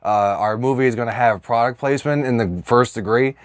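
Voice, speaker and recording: A man's voice: medium pitch, a little fast, no echo, clean studio sound. An American man co-hosting a comedy podcast, riffing and joking in casual, sweary banter. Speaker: podcast co-host.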